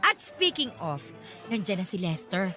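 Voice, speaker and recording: A woman's voice: mid-range voice, very animated, normal speed, bright, forceful tone, soft background music. A woman acting a playful, cheeky, matchmaking workmate with giggly, sing-song teasing in a Filipino radio drama.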